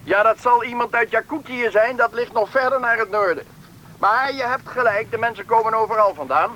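Bright, high-voiced settlement guide. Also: male voice. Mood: informative, proud. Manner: explanatory, polite, brisk guide. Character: helpful, talkative male settlement official showing newcomers around. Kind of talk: Dutch radio play acting. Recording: old radio play, faint background noise.

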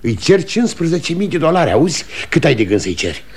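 A man's voice: a little high, sing-song. A bossy aging salesman father. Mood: lecturing. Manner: bossy, lecturing, quick to anger. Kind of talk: 1960s radio drama acting. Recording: old studio radio recording.

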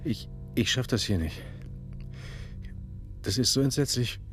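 A man acting a shell-shocked police detective in a radio crime play. He is overwhelmed and halting, circling back on his own words in a mid-pitched voice, with faint music behind. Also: animated, natural voice. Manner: halting, repeating himself, emotionally overwhelmed.

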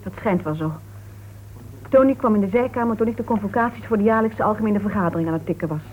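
A woman's voice: medium pitch, quick pace, close to an everyday voice. A woman playing a composed but defensive female suspect in a Dutch radio play, answering in a clipped, formal, resigned way. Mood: formal, guarded. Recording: old radio recording, narrow tinny band, hiss.

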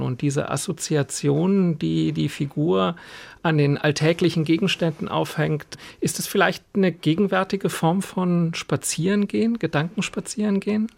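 A man's voice: a little high, gravelly, dry recording. A man hosting a radio programme, articulate and formal in his announcing and interviewing.

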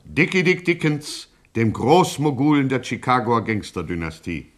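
A man's voice: medium pitch, big pitch swings, moderate pace, music in the background. Pompous male radio play narrator. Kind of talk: scripted mock-epic radio narration.

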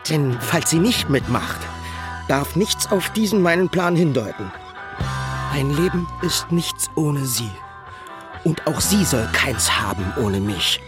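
A lovelorn young would-be poet, intense and grandiose, in a diary monologue. His voice is fairly high, with guitar music clearly behind it. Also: sing-song, slow. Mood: obsessive, brooding. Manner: intense, self-dramatizing. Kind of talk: radio drama diary monologue.

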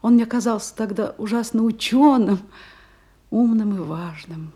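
A husky, mellow female voice with a languid theatrical delivery. a discontented, refined married gentlewoman, sensitive and disdainful, in a classical drama. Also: mid-range voice, a little slow. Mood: wistful. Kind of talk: classical stage drama acting.

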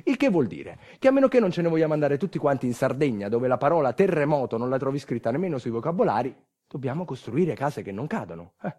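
A man's voice: medium pitch, sing-song, fast talker, darkish tone. A man performing a theatrical narrative monologue in a wry, rhetorical manner, with colloquial asides and refrains.

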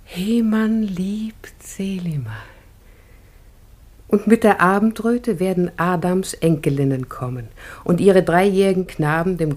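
Young biblical bride, radio drama, tender, lyrical declamation, lowish female voice. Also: darkish tone. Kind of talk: classic German radio drama acting.

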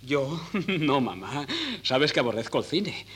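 An actor playing a polite, bookish, innocent young gentleman in a 1970s Spanish radio comedy, formal and courteous. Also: medium pitch, animated, unhurried, husky. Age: young adult. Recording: noisy, humming 1970s cassette.

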